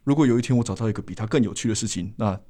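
A man's voice: lowish voice, very fast, warm tone. A man with a Taiwanese Mandarin accent chatting casually as a theatre guest, informal and easygoing. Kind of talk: casual chat.

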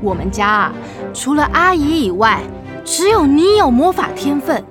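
High, animated fretting mom. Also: female voice, normal speed, music in the background. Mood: exasperated. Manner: scolding, sighing. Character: exasperated, fretting mother of a little girl. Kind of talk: children's radio play acting.

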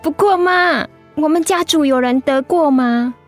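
An actress playing a pouty, affectionate high-school daughter in a radio drama, girlish and frank. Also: measured pace, soft background music. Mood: curious, frank.

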